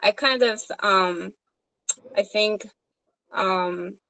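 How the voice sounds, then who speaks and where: Slow, hesitant woman. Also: medium pitch, creaky, bright, forceful tone, poor audio. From Korea. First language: Korean. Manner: hesitant, many fillers and restarts. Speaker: social worker. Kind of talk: supervision meeting conversation.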